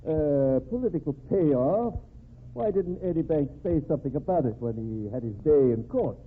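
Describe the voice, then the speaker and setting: A man as a stuffy, animated governor. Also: on the high side, normal speed, lightly acted. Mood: doubtful, formal. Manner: formal, pompous, hesitant at times. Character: stuffy, skeptical male state governor. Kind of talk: old-time American crime radio drama acting. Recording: tinny noisy old radio recording.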